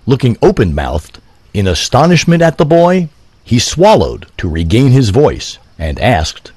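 A man reading a scripted story in a literary, deliberate storytelling manner. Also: mid-range voice, wildly swooping pitch, darkish tone, slightly rough, no echo.

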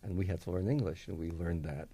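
A man in his sixties whose first language is Russian, a composer recalling his early years in a radio interview, measured and wry with many hesitations. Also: deep voice, steady tone, dark tone, breathy edge. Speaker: composer guest.

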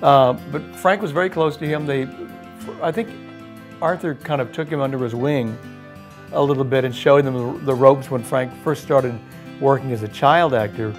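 A man's voice: medium pitch, moderate pace, soft background music. An older man casually reminiscing in an interview.

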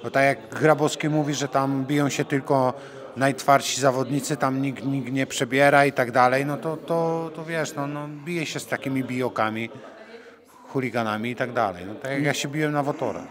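A man's voice: mid-range voice, steady tone, moderate pace. Blunt male fighter, crowd murmur behind. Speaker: fighter being interviewed. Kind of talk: conversational sports interview. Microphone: handheld reporter microphone. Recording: crowd chatter in the background.

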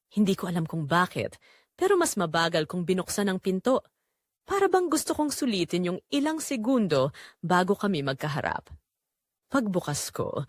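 Woman, dramatic story narrator, confessional, lively intonation, deliberate phrasing, dry sound. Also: mid-range voice, dry recording. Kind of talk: emotional first-person scripted story narration.

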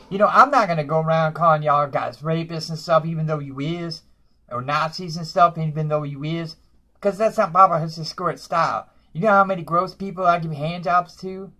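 A man's voice: a little high, sharp tone. Man playing Barbara, a brash dumpster-dwelling woman. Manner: self-promoting, ends nearly every phrase with "y'all". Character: brash, boastful broke woman who lives in a dumpster. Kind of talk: comedy character monologue.

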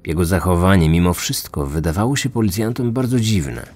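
A man delivers scripted true-crime narration as a podcast host. His voice is fairly low, with music playing underneath. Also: a little fast, a little mellow.

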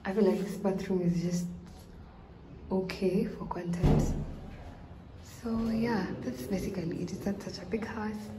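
A woman's voice: mid-range voice, fairly even intonation, unhurried, pauses often, over music, rough recording. A young woman in her twenties talking to her phone camera in a small empty apartment, chatty and upbeat, thinking aloud with small restarts. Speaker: vlogger. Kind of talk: casual vlog to camera.

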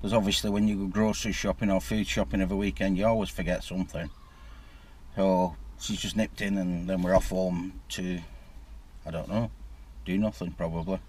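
A man's voice: low voice, fairly even intonation, brisk, darkish tone. A middle-aged man with a Scottish accent, from the north east of Scotland, chatting casually to a handheld camera about his day. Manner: chatty, rambling, self-filming. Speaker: vlogger. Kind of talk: casual vlog chat to camera. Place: home living room and outdoors, parked car. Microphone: handheld camera at arm's length.

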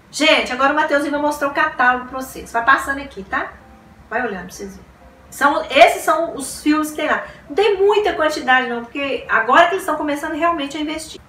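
A woman's voice: high-pitched, pushed and bright. A woman in her forties chatting to camera about books in a small room, gushing and repeating words as she exclaims. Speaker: book vlogger. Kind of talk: casual chat to camera. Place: small decorated room indoors. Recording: roomy room sound, a bit distant, faint hiss.

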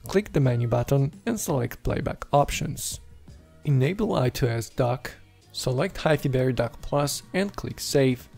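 Male tech presenter for scripted tutorial voice-over, noticeable vocal fry, music bed underneath. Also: mid-range voice, lively intonation, unhurried, a little mellow. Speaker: tech video host. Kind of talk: scripted how-to voice-over narration. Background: background music.

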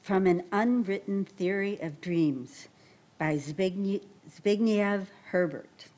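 A woman's voice: medium pitch, takes it slowly, a little mellow. An older woman reading a poem aloud at a podium microphone in a library meeting room. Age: older, 60s or above. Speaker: poetry reader.

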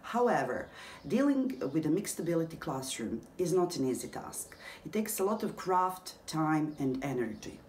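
Woman from serbia, low mellow voice, careful scripted talk, roomy sound. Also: sing-song, normal speed, slight background noise. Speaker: English teacher. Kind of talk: scripted presentation. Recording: echoey room with faint background ambience.

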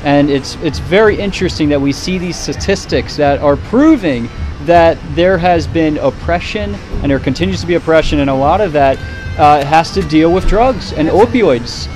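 A man conducting a street interview outdoors, speaking earnestly and deliberately and repeating his points. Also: a little high, darkish tone, soft background music. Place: outdoors in front of row houses. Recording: noisy outdoor street, passing vehicles.

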